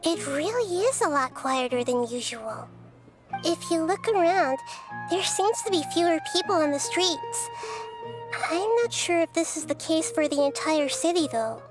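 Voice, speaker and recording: Very high-voiced, wise girl goddess. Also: lively intonation. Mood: calm, thoughtful. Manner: measured, thoughtful, earnest. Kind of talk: anime game voice acting. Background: game background music.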